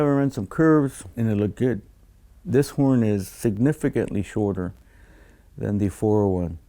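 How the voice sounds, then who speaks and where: A man speaking as a design engineer in an explanatory interview, measured and technical, often pausing mid-phrase. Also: medium pitch, warm tone.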